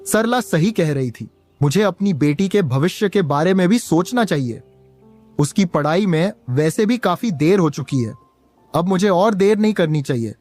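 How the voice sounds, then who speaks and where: A man's voice: high voice, darkish tone. A man voicing a poor, patient village pot seller and father in a cartoon, earnest and plaintive. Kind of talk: Hindi cartoon story dialogue.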